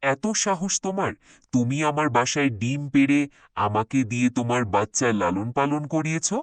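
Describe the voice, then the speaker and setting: A woman's voice: rumbling low, animated, moderate pace, dry recording, pitched below the performer's own voice. A woman voicing a proud, bossy, indignant crow king in a children's cartoon story. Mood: angry scolding. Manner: haughty, scolding.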